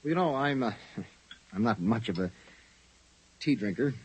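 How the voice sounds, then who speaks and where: An actor playing a tough, brisk city private detective in a 1950s radio drama, with clipped colloquial speech. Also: male voice, medium pitch, animated, slightly rough. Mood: polite, hesitant. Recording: muffled, lo-fi old radio recording.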